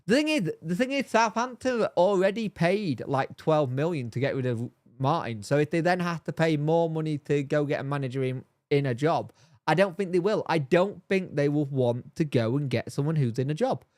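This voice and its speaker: A man's voice: fairly high pitch, animated, normal speed, a little mellow, no echo, clean studio sound. Bunged-up, nasal man chatting on a stream. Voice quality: nasal and throaty. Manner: rambling, repeats himself, answers chat by name. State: congested, bunged up. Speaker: fan channel host reading live chat. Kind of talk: casual live-stream chat.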